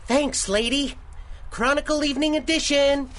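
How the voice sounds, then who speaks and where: A kid newspaper seller yelling headlines, really high eager voice, old radio show style. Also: female voice, fairly even intonation, moderate pace. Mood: eager, shouting. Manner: hawking, calling out. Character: loud, eager young street newspaper seller. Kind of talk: 1930s radio drama acting.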